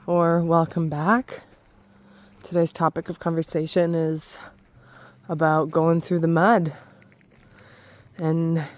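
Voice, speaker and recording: A woman talking slowly and softly in a reflective spiritual vlog, pausing and restarting often, with a slightly raspy voice, alone in a forest. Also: lowish voice, no room sound. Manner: soft, slow, hesitant, with many restarts. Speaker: spiritual vlogger.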